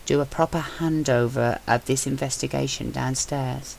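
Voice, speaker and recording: A tense, anxious young man, speaking quietly and with strain, as a woman narrator puts him on. The voice stays low and close to her own. A faint hiss sits under the reading. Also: steady tone, normal speed, gravelly, poor audio. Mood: forced calm.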